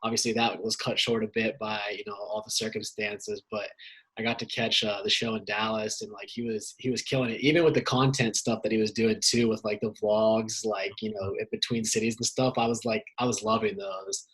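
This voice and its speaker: A man from Ohio co-hosting a podcast, talking in a relaxed, rambling way. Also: fairly low pitch, brisk, breathy edge, rough recording. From Canton, Ohio. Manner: relaxed, rambling conversational delivery. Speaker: podcast co-host. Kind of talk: casual interview chat.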